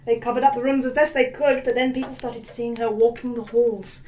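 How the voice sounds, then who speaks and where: A woman playing a brisk upper-crust lady telling a family ghost story in a theatrical audio drama, with old-fashioned posh phrasing. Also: fairly high pitch, steady tone, mellow, far from the mic, slight background noise, close to an everyday voice. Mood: grave narration.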